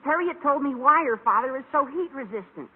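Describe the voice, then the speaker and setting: An American actor playing an eager, scheming teenage schoolboy, gawky and over-earnest, in an old radio sitcom. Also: male voice, extremely high pitch, lively intonation, a little fast. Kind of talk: 1940s-50s American radio sitcom acting. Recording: tinny old radio recording, faint hiss.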